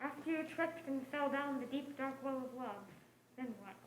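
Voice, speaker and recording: A woman's voice: sits high, moderate pace, lightly acted. A woman playing a loyal, lovelorn confidante in a noir stage play, pointed and probing. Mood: wounded. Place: indoor stage play performance. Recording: roomy stage recording with clear noise.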